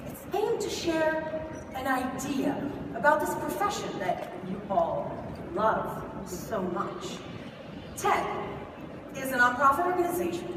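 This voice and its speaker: A woman's voice: sits high, background noise. A woman hosting a conference event, giving an emphatic stage welcome. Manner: emphatic, lively presenting. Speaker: event host. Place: large conference hall. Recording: echoey hall from far off over music.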